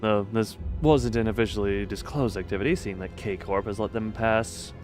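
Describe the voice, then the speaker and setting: A man's voice: on the low side, steady tone, moderate pace. Rambling man streaming over game music. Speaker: livestream host playing a game. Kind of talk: casual livestream commentary, reading game dialogue aloud.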